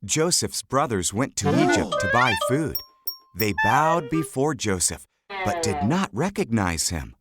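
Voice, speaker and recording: A narrator reads a scripted children's story at a slow, measured pace. The pitch moves in lively swings from phrase to phrase, and loud music plays underneath the whole reading. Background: loud background music.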